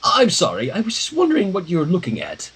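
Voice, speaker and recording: A man's voice: high-pitched, huge pitch swings, fairly quick, fairly bright tone, breathy edge, full character voice. A man voicing a stressed, proper female pony official who asks awkward questions in a story readaloud. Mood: sheepish, curious.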